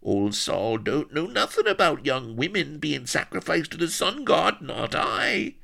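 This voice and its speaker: A man's voice: fairly high pitch, very animated, measured pace, vocal fry, bright, forceful tone, pitched above the performer's own voice, exaggerated voice. A man voicing a crazed old castaway gardener with a West Country accent, rambling and whining in rustic dialect in an audiobook. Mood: whining, cringing. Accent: West Country English.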